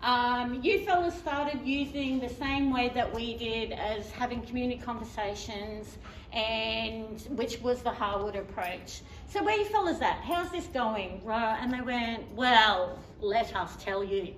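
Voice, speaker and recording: A woman's voice: fairly high pitch, a little slow. A woman speaking as a community member at a conference, telling her group's story in a chatty way with fillers. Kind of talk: conference presentation. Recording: echoey room, mic at a distance, faint noise.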